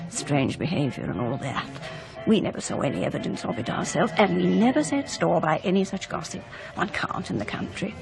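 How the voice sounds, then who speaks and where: Gossipy posh older wife, confiding, very up and down voice, music behind, radio play. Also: female voice, fairly low pitch, vocal fry. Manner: chatty, confiding, genteel. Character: gossipy, motherly, well-meaning older society wife. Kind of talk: 1990s British radio drama acting.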